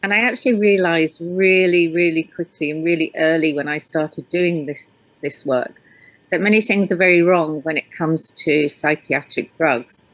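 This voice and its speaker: A woman's voice: low-pitched, moderate pace, no echo, rough recording. A woman with a hybrid northern English accent, raised in Yorkshire, speaking as an interview guest and author, hesitant with many ums and restarts. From Yorkshire, England. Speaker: author and researcher. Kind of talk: interview guest answering questions.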